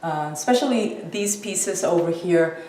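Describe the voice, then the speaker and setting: Deep female voice, artist presenting work, informal talk, roomy echo, light background noise. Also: animated, measured pace, mellow. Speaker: exhibiting artist. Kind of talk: informal explanation of own artwork. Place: indoor art gallery. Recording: echoey room with faint noise.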